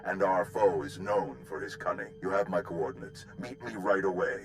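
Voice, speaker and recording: An actor playing a commanding military general in a video game, authoritative and formal. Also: male voice, sits low, moderate pace, music in the background. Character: commanding, hospitable army general.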